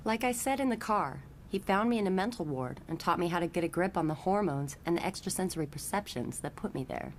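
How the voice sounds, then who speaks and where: A knowing young woman guide, acted by a woman, with a fairly low voice. She explains things in a friendly, matter-of-fact chat, as in an animated comic. Faint rumbling noise lies underneath. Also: fairly quick, few pauses. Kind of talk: expository motion comic voice acting.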